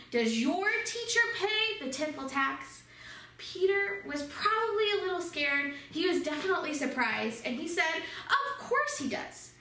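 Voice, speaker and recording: Young woman, kids' Bible teacher, high bright voice, roomy studio echo, a bit off-mic. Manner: animated storytelling, addressing children directly. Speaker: children's church teacher. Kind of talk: Bible lesson for kids. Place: small studio with red backdrop. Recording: echoey room, voice from some distance.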